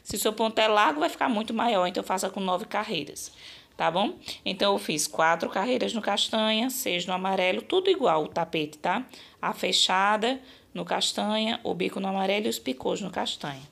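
A slightly hoarse woman with a Brazilian accent, explaining her craft work in a chatty, casual vlog style. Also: medium pitch, a little fast, a bit bright. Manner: chatty, explanatory, frequent check-in tags. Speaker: crochet channel host.

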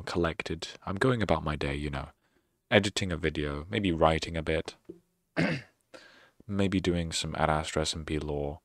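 A man's voice: sits low, a bit bright, no echo. A young man streamer closing out his stream with casual, rambling, self-mocking sarcastic chatter into a close microphone. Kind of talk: casual end-of-stream chat.

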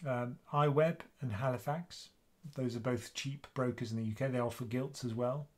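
A man in his fifties with a British accent, hosting a live investing Q&A stream from a small home room, chatting casually in a quiet voice. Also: mid-range voice, normal speed. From United Kingdom. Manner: casual, chatty, soft-spoken. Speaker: investing channel host.

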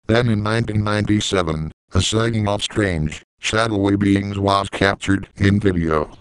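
A man's voice: fairly low pitch, moderate pace, clear, resonant tone, no room sound, poor audio. A man reading scripted narration in the manner of a horror documentary.

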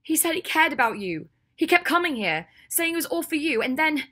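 A woman's voice: high voice, very animated, a little slow, warm tone, pitched above the performer's own voice. A woman voicing a fierce, devastated young woman in an audiobook, protective and full of loathing. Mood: devastated rage. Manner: shaking with rage, cold when accusing. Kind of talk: audiobook character acting.

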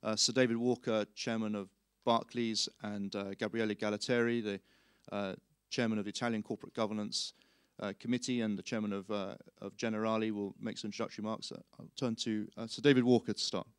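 Male forties moderator, measured and filler-heavy, smooth and monotone, dry handheld mic. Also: on the low side, no echo. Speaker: panel moderator. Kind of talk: introducing a seminar. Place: conference hall, seated on stage.

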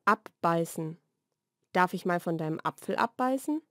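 A woman reads short scripted vocabulary lesson sentences in a clearly enunciated, measured way. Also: on the low side, animated, fairly bright tone. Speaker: language lesson narrator. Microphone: studio voice-over recording.

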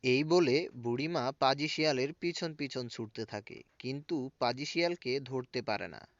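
A man's voice: medium pitch, moderate pace, no echo. A man narrates a scripted children's story in a mid-range voice. The recording is dry and a bit dull. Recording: dull lo-fi sound.

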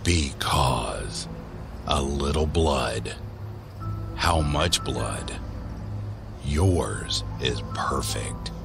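A man giving measured, deliberate narration for a scripted horror audio drama. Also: very deep voice, lively intonation, gravelly. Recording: voice over background music.

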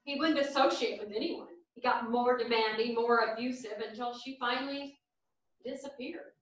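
A woman playing a devout, caring elderly grandmother in a staged play reading, deliberate and expressive. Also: fairly high pitch, lightly acted. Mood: reminiscing. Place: small theatre stage, seated at music stand. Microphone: far camera. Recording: very echoey theatre stage from far off.

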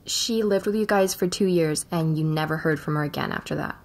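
An actress playing a curious young woman journalist with a Spanish accent who asks probing questions. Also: low voice. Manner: probing questions, brisk narration. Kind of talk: audio drama acting.

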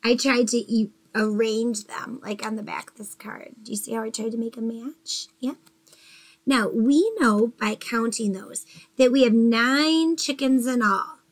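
A woman in her forties with a fairly high voice, teaching a math lesson to small children. She speaks gently and encouragingly, checking in with the kids through questions. She is recorded from her bedroom on a laptop webcam. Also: measured pace, rough recording. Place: bedroom at home, indoors.

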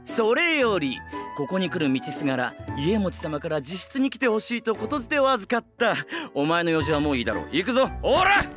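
An actor playing a haughty, hot-tempered, fiercely loyal young retainer, brusque and emphatic, in an anime drama. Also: male voice, high-pitched, big pitch swings, normal speed, few pauses, music in the background. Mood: brusque, scolding.

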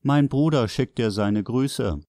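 A man's voice: mid-range voice, unhurried, mellow, dry recording, clean studio sound. A man reading short scripted sentences as the narrator of a language lesson. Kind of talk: scripted sentence translations read out.

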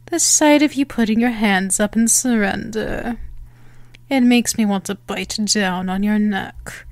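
Woman playing a haughty, possessive vampire, slow-spoken. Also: medium pitch, dry recording, lightly acted. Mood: smug, hungry. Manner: teasing, theatrical, deliberate phrasing. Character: possessive, haughty, menacing female vampire, playfully obsessed. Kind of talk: ASMR roleplay monologue.

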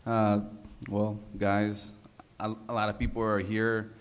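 A man in his thirties, a city council member, giving an informal, halting speech of thanks at a public meeting. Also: lowish voice, monotone, smooth. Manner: halting, unpolished, repeats himself. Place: large council chamber, indoors. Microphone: gooseneck desk microphone.

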